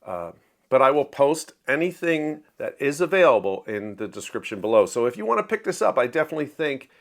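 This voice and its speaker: A man's voice: mid-range voice, sing-song, brisk. A man talking casually about books he read this month, in an enthusiastic, explanatory, conversational way. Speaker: book reviewer and writer. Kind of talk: casual monthly reading wrap-up.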